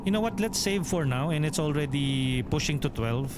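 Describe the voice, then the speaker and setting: A man's voice: a little high, lively intonation, normal speed, background noise. A man from the Philippines with a Filipino accent, streaming a role-playing game and chatting with his viewers as he reads out menus. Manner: thinks aloud while reading menus, chatty with viewers. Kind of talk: live let's play commentary.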